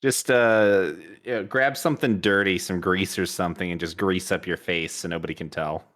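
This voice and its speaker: A man playing in a tabletop game, offering ideas in a rambling, halting way. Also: sits low, fairly even intonation, a bit bright. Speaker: player. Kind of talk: tabletop banter.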